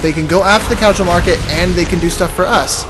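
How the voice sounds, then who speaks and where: A man with a slightly high voice talks loosely and haltingly, like a podcast co-host at play. Game music runs clearly behind his casual commentary. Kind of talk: casual commentary while playing a video game. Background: loud video game soundtrack.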